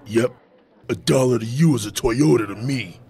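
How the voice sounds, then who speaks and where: Audio drama: jeering bully's sidekick, male actor, gravelly, raspy, slow-witted teen. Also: medium pitch, darkish tone. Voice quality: gravelly, husky. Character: dim, jeering teenage thug sidekick.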